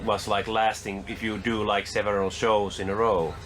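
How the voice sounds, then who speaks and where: Low-voiced male interviewer. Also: level tone, moderate pace, fairly bright tone, slight background noise. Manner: loose, many "like" fillers. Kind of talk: interview questions.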